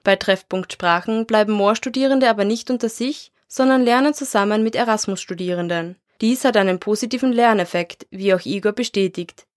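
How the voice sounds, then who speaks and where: A woman narrating a scripted university radio report in a measured, even delivery. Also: medium pitch.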